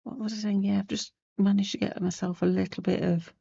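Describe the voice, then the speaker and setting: A woman's voice: mid-range voice, little pitch movement, fairly quick, creaky, mellow, slightly rough, dry recording, dull audio. A woman with a sniffly cold, chatting casually as she demonstrates a sewing technique, rambling and losing her thread at times. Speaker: crafting channel host. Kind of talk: casual sewing tutorial chat.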